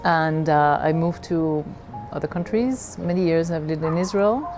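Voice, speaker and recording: Middle aged woman from belgium talking personally, low voice, halting and reflective, music playing behind her. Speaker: leadership coach.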